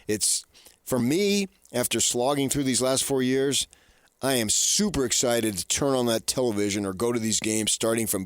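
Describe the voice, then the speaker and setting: A man's voice: medium pitch, no echo, studio quality. A man hosting sports radio in an unscripted style, often pushing his points with repeated rhetorical questions. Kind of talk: unscripted sports talk commentary.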